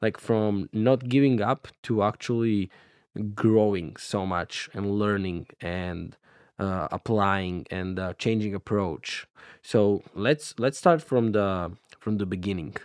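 A man's voice: lowish voice, slow pace, darkish tone, no echo, studio quality. A man hosting a podcast, asking long rambling questions full of fillers. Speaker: podcast host. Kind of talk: casual interview talk.

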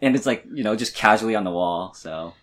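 A man with a fairly low voice talks casually as a podcast co-host. He rambles and halts, feeling his way through each thought in a loose, conversational style. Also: lively intonation, a bit bright. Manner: halting, rambling, filler-heavy. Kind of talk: casual movie discussion.

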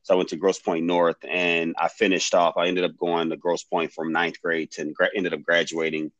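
A man's voice: low voice, flat delivery, normal speed, fairly bright tone, no room sound, dull audio. A man in his forties from Detroit, a guest on a remote interview, explaining his work in a rambling way full of ums and restarts. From Detroit, USA. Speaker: guest, comic creator. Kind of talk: casual interview chat. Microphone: headphones, remote call.

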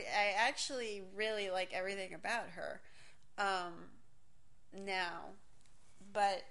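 A woman's voice: mid-range voice, a bit bright. A woman in her forties, raised in Miami, talks through a personal story in a loose, candid monologue. She interrupts herself, circles back, swears casually and laughs often. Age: 40s.

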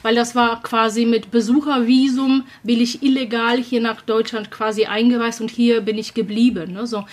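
A Polish-accented woman of about sixty chats as a guest, often pausing to search for words. She cannot pronounce the R and trills her lips instead. Her voice sits a little higher than most women's. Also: level tone. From Poland. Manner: hesitant, searching for words, many fillers. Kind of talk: casual conversation.

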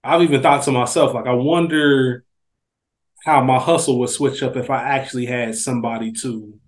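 A mid-pitched American man chats as a podcast co-host. His manner is casual and slangy, with a reflective way of talking. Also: steady tone, normal speed.

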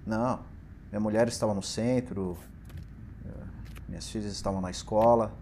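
Man acting nervy distraught shopkeeper guy, lowish voice, stammers and pleads, audio drama, noisy ambience. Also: fairly even intonation, moderate pace, mellow, natural voice. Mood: confused, nervous. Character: distraught, agitated shopkeeper, family man, insistent and nervous. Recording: clearly noisy ambience under voice.

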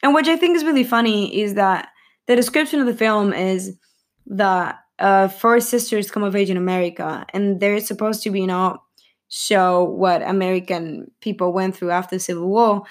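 A woman's voice: mid-range voice, moderate pace. A young woman vlogging casually about a film's cast, rambling and giggly, with lots of fillers and self-corrections. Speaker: film vlogger.

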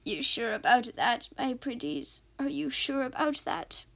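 A woman's voice: high-pitched, sing-song, a little slow, vocal fry, fairly bright tone, full character voice. A female performer voicing a sinister old kidnapper villain in a radio drama, whispery and mocking as they taunt their captives. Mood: gloating menace.

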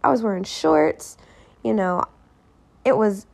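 Raspy, chatty young woman podcaster. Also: medium pitch, lively intonation, slow pace, darkish tone. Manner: chatty and reflective, quoting her own thoughts aloud. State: voice more raspy than normal. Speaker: podcast host. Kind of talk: casual solo podcast talk.

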